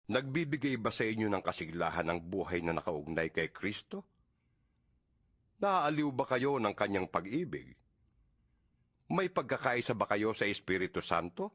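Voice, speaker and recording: Deep-voiced Filipino man reading scripture dramatically. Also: very animated, a bit bright, no echo. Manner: solemn, earnest, measured exhortation. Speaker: audio Bible narrator. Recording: music under the voice. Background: background music.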